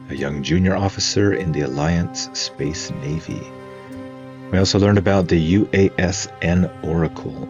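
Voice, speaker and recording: Deep low guy narrating a story podcast, dramatic and deliberate, bit dull sound with music behind. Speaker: podcast host, game master and narrator. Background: clear background music.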